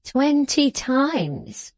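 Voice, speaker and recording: A woman voicing an irritable, wheedling old man in a classic novel, with a breathless, drawn-out delivery. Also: sits high, big pitch swings, a little mellow, no echo, voice pushed up, heavily acted. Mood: incautious.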